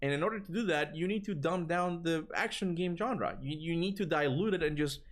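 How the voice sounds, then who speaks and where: Cuban accent guy rambling on stream, lively, cusses a bit, tired voice. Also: a little high, a little fast. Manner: rambling, animated, swears casually. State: tired voice from long talking. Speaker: gaming streamer answering chat. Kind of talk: casual livestream chat.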